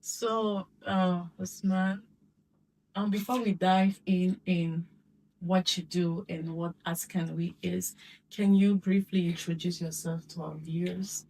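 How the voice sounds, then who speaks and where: A woman's voice: fairly low pitch, level tone, takes it slowly, darkish tone, recorded from a distance, a little hiss or hum, low-quality recording. A woman hosting an interview, introducing her guest formally and keeping things moving with short prompts. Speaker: host.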